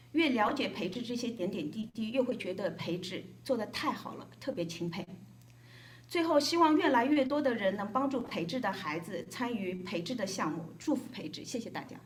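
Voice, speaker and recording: A woman from Shanghai, a volunteer reading a prepared, reflective speech at a gathering. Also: fairly high pitch. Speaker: volunteer speaker. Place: hotel event room. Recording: echoey event room.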